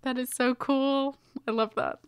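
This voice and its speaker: A woman's voice: high-pitched, fairly even intonation, unhurried, clean tone. A woman co-hosting a podcast, chiming in with playful, casual banter and short reactions. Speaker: podcast host.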